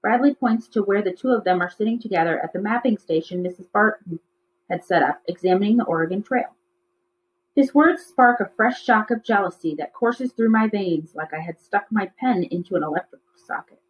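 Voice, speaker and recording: A woman's voice: medium pitch, brisk. A woman narrating a children's novel aloud, as a teacher reading to pupils. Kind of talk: read-aloud storybook narration. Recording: slightly dull, lo-fi recording.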